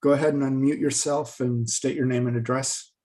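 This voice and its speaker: A man's voice: medium pitch, fairly even intonation, brisk, warm tone. Man chairing a public meeting.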